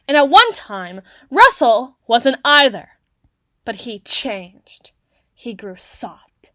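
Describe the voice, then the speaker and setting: A woman's voice: on the high side, pushed and bright, no echo, studio quality, heavily acted. A woman playing a flustered, haughty teenage tsundere girl in an ASMR roleplay, bookish and socially awkward, with formal, wordy diction.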